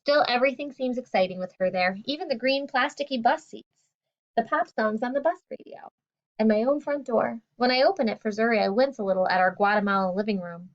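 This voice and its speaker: A woman narrates a first-person audiobook in a reflective storytelling way. Her voice sits fairly high. The recording sounds dull and lo-fi. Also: a little fast.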